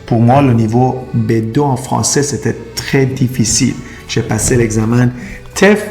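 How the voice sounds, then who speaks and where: Gravelly-voiced man. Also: medium pitch, a little mellow. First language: Persian and Turkish. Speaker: immigration consultant. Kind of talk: explainer video to camera.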